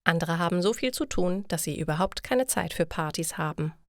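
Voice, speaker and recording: Female narrator for learner stories: lowish voice, measured scripted reading, very dry recording. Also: animated, dry recording.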